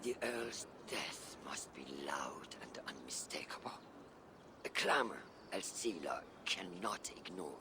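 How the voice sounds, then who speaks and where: An actor playing a seasoned older assassin mentor in a video game, shrewd and patient, speaking in measured, formal, archaic phrases. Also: male voice, low-pitched, big pitch swings, noisy background, exaggerated voice. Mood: low, scheming. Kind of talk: dramatic video game dialogue.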